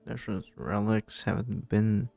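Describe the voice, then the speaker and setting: A man's voice: on the low side, unhurried, gravelly, mellow, faint music behind. A man streaming a video game, reading dialogue aloud close to the mic. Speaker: streamer playing along.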